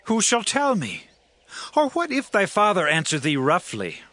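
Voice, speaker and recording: A man's voice: high voice, wildly swooping pitch, a little slow, bright, forceful tone, no echo, heavily acted. A man playing an anxious young fugitive in a dramatized Bible reading, pleading earnestly and emphatically with his friend in archaic English.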